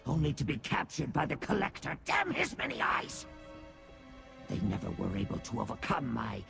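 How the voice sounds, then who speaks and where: Gravelly little boastful demon. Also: male voice, fairly high pitch, exaggerated voice. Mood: scornful, cautionary. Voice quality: gravelly, croaking. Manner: theatrical, swooping delivery. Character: small cursed daedra, once a titan, servile yet boastful. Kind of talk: video game character acting.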